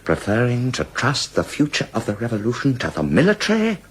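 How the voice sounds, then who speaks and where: An actor in a radio drama playing a defiant, foul-mouthed Nazi brownshirt commander, sardonic and blunt in manner. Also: male voice, mid-range voice, wildly swooping pitch, brisk, husky. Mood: sardonic, pressing.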